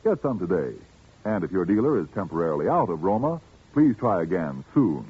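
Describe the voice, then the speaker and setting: A man's voice: big pitch swings, brisk. A man reading a persuasive commercial in an old radio broadcast. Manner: smooth, persuasive sales pitch. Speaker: commercial announcer. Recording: dull 1940s radio recording.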